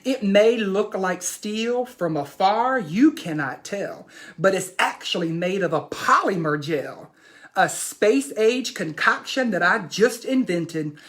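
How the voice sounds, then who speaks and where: A woman's voice: mid-range voice, animated, takes it slowly. Woman reading as an emphatic, boastful young boy inventor. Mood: eager, showy. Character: imaginative boy proudly showing off his dream car. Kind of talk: expressive storybook read-aloud.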